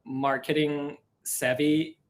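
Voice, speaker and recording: A man with a Korean accent, a co-writer talking in a casual live stream, hesitant and soft-spoken, often pausing on "uh" and laughing easily. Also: on the high side, level tone. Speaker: co-writer of a musical.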